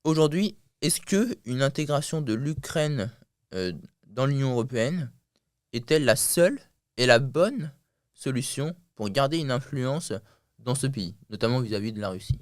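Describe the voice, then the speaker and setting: A man's voice: medium pitch, animated, moderate pace, no echo. Man, podcast host, hesitant, lots of fillers, dry close mic. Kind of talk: podcast interview.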